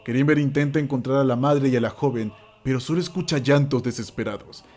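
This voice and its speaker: A man tells a scripted horror story in a mid-range voice. His delivery is theatrical and suspenseful, broken by dramatic pauses, with music beneath it. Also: medium pitch, darkish tone. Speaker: narrator.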